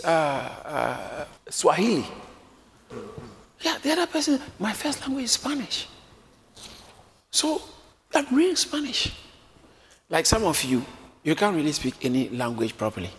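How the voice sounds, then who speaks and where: Man preaching, very lively pitch, acts out crowd voices, echoey hall, faint noise. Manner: animated, acts out crowd voices, jokes with congregation. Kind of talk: sermon.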